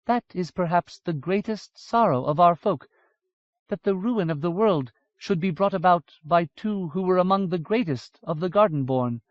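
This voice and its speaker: A man voicing a sorrowful, ageless immortal elf woman, speaking in a grave, lyrical, measured way. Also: high-pitched, lively intonation, no echo, pitched above the performer's own voice. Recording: dull lo-fi audiobook recording.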